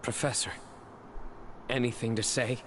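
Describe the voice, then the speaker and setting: A man's voice: medium pitch, level tone, a little slow, fairly bright tone, slight background noise, close to an everyday voice. An actor playing a serious, blunt, protective young man in a video game, direct and pressing. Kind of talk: scripted video game acting.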